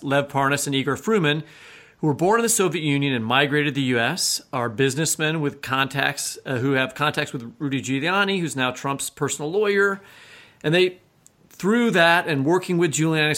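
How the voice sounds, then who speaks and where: A man's voice: a little high, pushed and bright. A man co-hosting a podcast in a casual, colloquial way, with wry, teasing banter. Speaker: podcast co-host and novelist.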